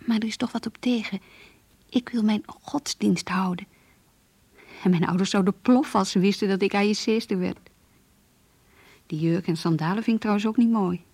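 A woman playing a naive, pious schoolgirl in love, breathy and earnest, confiding her longings in a radio play. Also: medium pitch, normal speed, long pauses, darkish tone, dry recording. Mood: wistful, confiding. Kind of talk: radio drama, diary-like monologue and dialogue.

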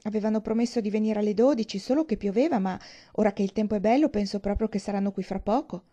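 A woman's voice: mid-range voice, fast, warm tone, lo-fi sound, close to an everyday voice. A woman voicing an eager, naive young woman in a storybook, with hesitant, questioning exclamations. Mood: reluctant. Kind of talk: audiobook character dialogue.

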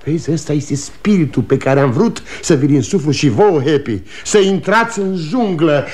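Man acting weary, high-pitched, volatile aging salesman father. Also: lively intonation, a little mellow, natural voice. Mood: wistful, regretful. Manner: agitated, rambling, nostalgic, scornful. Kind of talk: 1960 Romanian radio theatre acting.

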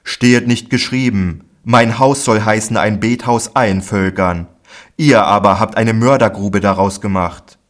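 A man voicing a calm, authoritative male teacher in a scripture reading, solemn and measured. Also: fairly low pitch, lightly acted.

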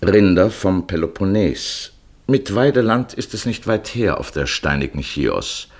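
A man narrating a literary travel feature in 1950s radio style, telling it vividly and in a measured way. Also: deep voice, expressive.

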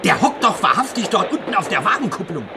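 A bossy police lieutenant in a satirical radio play. His high voice swings up and down in animated outbursts, brisk and easily exasperated, over busy background noise. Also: gravelly, over music, exaggerated voice. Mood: flabbergasted. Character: self-assured, blustering male police lieutenant in a satirical gangster story. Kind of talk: comic radio drama acting. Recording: noisy radio scene with busy background sound.

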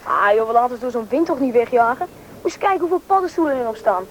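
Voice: mid-range voice, lively intonation, fast, close to an everyday voice. A performer playing a stubborn, carefree young boy with a questioning, whiny manner in a radio drama. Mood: stubborn, sulky. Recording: noisy old radio play recording.

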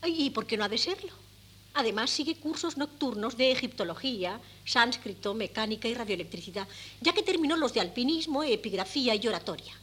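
A proud, doting mother, high-voiced. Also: lively intonation, a little fast, fairly bright tone. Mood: boastful. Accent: Castilian Spanish. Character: doting middle-aged mother, proud and naively chatty. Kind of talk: 1970s Spanish radio theatre acting. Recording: noisy 1979 radio cassette recording.

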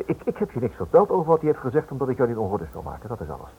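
Husky, animated, stubborn amateur investigator. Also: male voice, mid-range voice, very fast, heavily acted. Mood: placating. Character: stubborn, inquisitive husband and amateur investigator writing a book. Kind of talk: Dutch radio play acting. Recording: muffled, band-limited old radio play recording.

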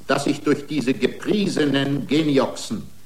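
A man's voice: medium pitch, measured pace, lightly acted. An actor playing a defiant, aggrieved man made of prostheses, arguing his own case indignantly and combatively in a radio drama. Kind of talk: German radio drama acting. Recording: echoing room.

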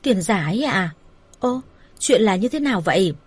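A woman voicing an excitable, nosy young woman who exclaims and scolds in an audio story. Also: mid-range voice, normal speed, slightly rough, no room sound. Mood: surprised.

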